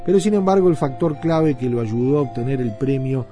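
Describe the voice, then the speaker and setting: Very mellow, dark-voiced male narrator. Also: medium pitch, animated, fairly quick. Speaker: radio presenter. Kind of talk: scripted documentary narration. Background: music.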